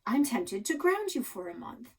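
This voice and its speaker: A woman's voice: a little high, big pitch swings, normal speed. A woman voicing an exasperated father in a children's story, with a firm, emphatic manner. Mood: stern, exasperated. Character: stern, exasperated father scolding his young daughter. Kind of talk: children's novel read-aloud.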